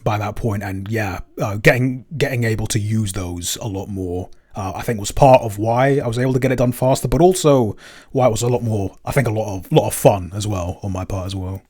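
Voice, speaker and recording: A man co-hosting a casual chat, soft-spoken with dry, understated humor. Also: on the low side, brisk. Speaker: podcast co-host and composer.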